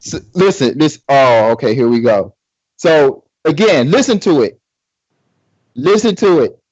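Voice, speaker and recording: A man's voice: on the high side, animated, measured pace, darkish tone, breathy edge, no room sound, muffled recording. A man arguing combatively in a heated online debate, repeating himself and interrupting. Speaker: debate participant.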